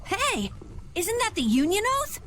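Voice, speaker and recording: High, eager young adventurer. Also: female voice, wildly swooping pitch, a little slow, exaggerated voice. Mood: curious, eager. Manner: eager, questioning. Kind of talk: video game voice acting.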